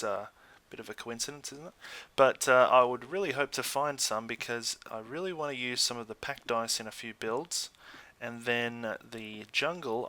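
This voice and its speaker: An Australian man giving casual, chatty let's play commentary in an easygoing way, thinking out loud as he goes. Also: medium pitch, smooth. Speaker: gaming YouTuber.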